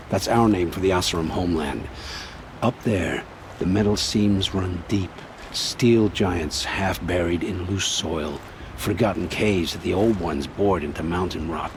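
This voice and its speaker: A guarded, weary scout who brushes people off in a gruff, low voice. He dodges and keeps things short. Steady game ambience hums underneath. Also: a little slow. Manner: gruff, dodging and dismissive. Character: guarded, evasive male scout, weary and curt. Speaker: outlander scout. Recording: game audio with ambient noise.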